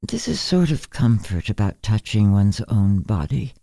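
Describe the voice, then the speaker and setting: A man voicing a frail, blind woman in her nineties in a story, courteous, frank and deliberate. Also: fairly low pitch, very animated, gravelly, warm tone, no echo, clean studio sound, heavily acted. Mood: grateful. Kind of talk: storybook character reading.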